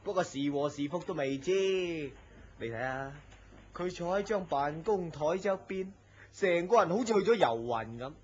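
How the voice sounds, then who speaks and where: An actor playing a nosy, superstitious office worker in a Hong Kong Cantonese radio drama, gossipy and full of exclamations. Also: male voice, on the high side, expressive, a little slow, a little mellow. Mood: gossipy, worried. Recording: muffled lo-fi audio with faint noise.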